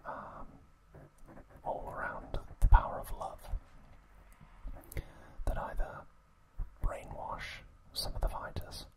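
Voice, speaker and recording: An ASMR host narrating in a hushed, breathy, unvoiced whisper, slow and unhurried. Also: low-quality recording. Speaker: ASMR host recapping an anime series.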